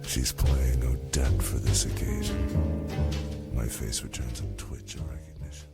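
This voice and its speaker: A woman performing a watchful, intimate narrator in a slow literary monologue for experimental radio. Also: rumbling low, level tone, vocal fry, exaggerated voice. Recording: loud music under the voice.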